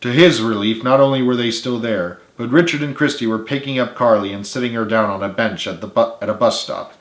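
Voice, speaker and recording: A man narrates a dramatic story as its author, reading aloud. His voice sits at a medium pitch. The room around him rings with noticeable echo. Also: a little fast. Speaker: author reading own book. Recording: echoey room.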